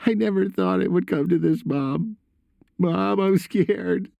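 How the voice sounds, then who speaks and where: A man's voice: sits high, slow, creaky, no room sound, lo-fi sound, pitched above the performer's own voice, full character voice. A man voicing a frightened, tearful son in an audiobook, shaky, whiny and pleading. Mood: scared. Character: frightened tearful teenage son confessing on the phone.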